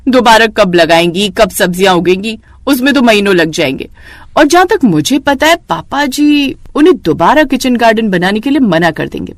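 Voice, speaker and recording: A woman's voice: on the high side, big pitch swings, normal speed, dry recording. Woman acting a scheming, grumbling young daughter-in-law. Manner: dramatic, complaining. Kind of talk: Hindi moral story voice acting.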